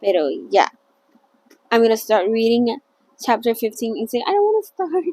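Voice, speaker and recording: Chatty giggly woman, slightly high voice. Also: big pitch swings, moderate pace, mellow. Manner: chatty, giggly, self-conscious, many fillers. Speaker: channel host reading a novel aloud. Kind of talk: casual read-along narration.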